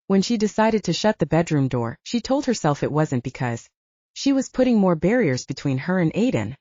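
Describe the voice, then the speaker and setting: A woman's voice: lowish voice, sing-song, normal speed, warm tone, no echo. A woman reading a novel aloud as scripted audiobook narration, in a slightly dull recording.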